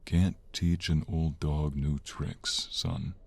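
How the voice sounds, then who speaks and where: A man voice-acting a stern, protective middle-aged mob boss father in an audio roleplay, speaking in a measured, formal way. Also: rumbling low, level tone, gravelly, no echo, exaggerated voice. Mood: grave, resolute. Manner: measured, deliberate, formal phrasing.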